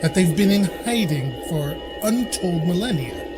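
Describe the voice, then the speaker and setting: An actor playing a mocking, haughty villain in an audio drama, sardonic and taunting. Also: male voice, high voice, lively intonation, unhurried. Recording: loud music under the voice.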